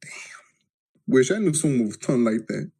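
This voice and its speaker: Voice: mid-range voice, animated, a little fast, creaky, mellow, breathy edge. A person giving a crude, mock-dramatic reading of a comic story, sometimes breaking off into blunt asides. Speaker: amateur story reader.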